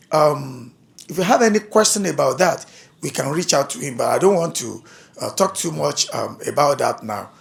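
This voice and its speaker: Gravelly man speaking at a community dialogue. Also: a little high. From Africa. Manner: halting, repeats words, laughs between points.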